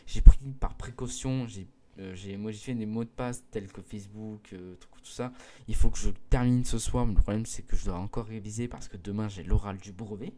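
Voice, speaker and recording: Hesitant teenage boy with a mellow voice. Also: on the low side, fairly even intonation, a little fast. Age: mid-teens. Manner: hesitant, many fillers and restarts; earnest, pleading with viewers. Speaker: gaming video creator. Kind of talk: casual explanation to viewers.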